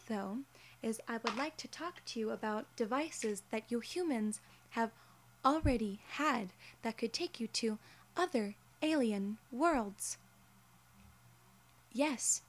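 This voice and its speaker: A woman in her twenties performing an ASMR roleplay in a measured, deliberate and formally worded way, close to the mic. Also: on the high side. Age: 20s. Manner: measured, deliberate, formal phrasing as an alien gatekeeper. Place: small indoor room, seated in an armchair.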